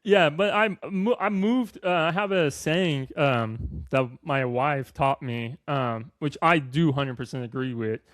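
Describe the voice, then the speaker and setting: A man from Iran, a realtor guest answering interview questions, hesitant and filling pauses with um, laughing easily. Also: a little high, fairly bright tone.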